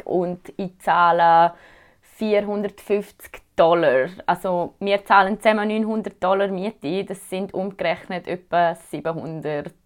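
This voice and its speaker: A young woman in her twenties from Switzerland with a Swiss German accent, chatting casually to viewers as she answers their questions. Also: mid-range voice. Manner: chatty, relaxed, many fillers. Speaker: vlogger.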